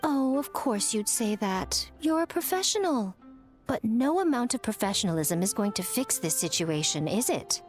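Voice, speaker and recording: A woman's voice: a little high, sing-song, normal speed, faint music behind. A woman voicing an elegant, sly shrine maiden in an anime-style game, arch and teasing with mock innocence. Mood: mock sympathy.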